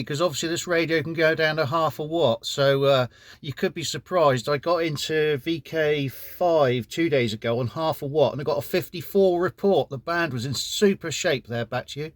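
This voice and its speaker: A man's voice: on the high side, no room sound. A man with an English accent chatting courteously as an amateur radio operator during a casual contact. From United Kingdom.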